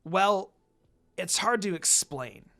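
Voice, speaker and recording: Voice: mid-range voice, lively intonation, slow, bright, forceful tone, full character voice. A reader voicing a brash, hot-tempered young half-demon man in an amateur story reading. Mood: startled, irritated.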